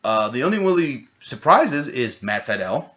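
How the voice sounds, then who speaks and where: A man co-hosting a casual podcast chat, rambling and excitable, swearing freely. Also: medium pitch, moderate pace. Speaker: podcast co-host. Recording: dull lo-fi podcast audio.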